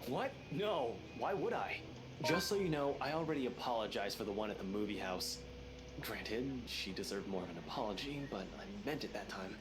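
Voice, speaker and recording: A man's voice: on the low side, moderate pace, lightly acted, low-quality recording. An actor playing a brusque young man in a visual novel, dry, defensive and conversational. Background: game music.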